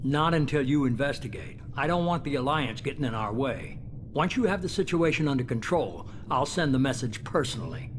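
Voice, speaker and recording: A man playing a calculating, authoritative spymaster in a video game, speaking in a measured, commanding way. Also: mid-range voice, animated, slight background noise, close to an everyday voice. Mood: grave, calculating. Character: calculating, authoritative middle-aged male spymaster boss.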